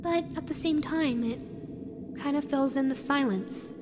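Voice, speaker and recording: A woman's voice: sits high, unhurried. A woman voicing a lonely, possessive animatronic fox with a childlike, sweetly sinister manner, dreamy and lingering, in an audio roleplay. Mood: wistful, eerie. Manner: dreamy, lingering, repeats words softly. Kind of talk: scripted audio roleplay addressed to the listener. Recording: long hall-like reverb, music underneath. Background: background music.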